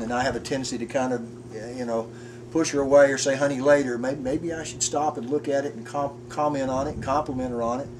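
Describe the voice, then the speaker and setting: Fifty-something guy thinking out loud on a porch, halting and sincere, mic far off, noisy outside. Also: medium pitch. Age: 50s. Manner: earnest, halting, with frequent restarts. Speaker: porch conversation guest. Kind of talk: casual reflective chat. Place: outdoors on a front porch. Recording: distant mic with background noise.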